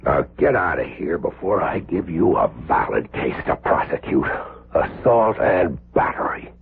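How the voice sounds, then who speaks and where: A man's voice: low voice, very animated, a little slow, vocal fry, slight background noise, exaggerated voice. An actor playing an intense, earnest male surgeon with emphatic delivery in an American 1970s radio drama. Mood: stern, accusing. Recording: muffled, lo-fi old radio broadcast.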